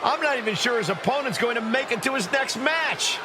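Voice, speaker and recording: A man's voice: very high voice, lively intonation, a little fast, crowd noise. A man playing an urgent play-by-play wrestling announcer in a sports game, over roaring arena noise. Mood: intense. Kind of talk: wrestling commentary.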